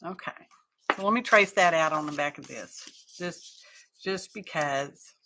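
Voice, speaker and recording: A woman's voice: low voice, unhurried, pushed and bright, a little hiss or hum. A middle-aged woman hosting a craft live stream, chatting casually and rambling to her viewers as she works.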